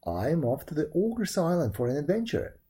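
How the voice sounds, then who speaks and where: A brave young storybook hero, voiced by a storyteller, confident and plain-spoken. The tone is cheerful and mellow, with lively movement in pitch. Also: moderate pace, husky. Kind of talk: storybook character voice.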